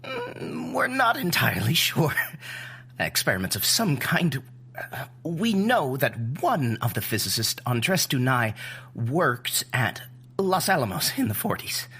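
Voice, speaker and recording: An actor playing a mild, bookish scientific expert in an audio drama, giving a halting briefing in a husky voice. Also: medium pitch, big pitch swings, sharp tone, heavily acted. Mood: hesitant, informative.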